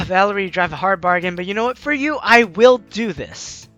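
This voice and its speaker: A woman's voice: medium pitch, expressive, moderate pace, fairly bright tone, dry recording, highs cut off, soft background music. A young woman hosting a game playthrough, reading the story aloud expressively and commenting as she goes, close on the mic. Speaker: let's play host.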